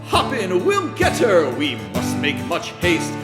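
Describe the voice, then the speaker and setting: An actor playing a quiet former convict who works as a train conductor and sings his lines. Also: sits high, wildly swooping pitch, measured pace, reverberant, loud music behind the voice, heavily acted. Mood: sing-song.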